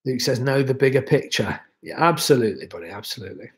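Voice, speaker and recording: A man's voice: mid-range voice, fast. A young man hosting a casual livestream, chatting loosely and reading out viewer comments, his voice a bit worn from being ill. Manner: relaxed, rambling, chatty with many fillers. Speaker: livestream host.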